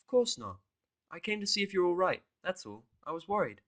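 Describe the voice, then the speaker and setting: A teasing female unicorn musician acted out by a man in a cartoon pony tale. She is coy and playful, cooing in a lifted pitch. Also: sing-song, fairly quick, fairly bright tone. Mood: gentle concern.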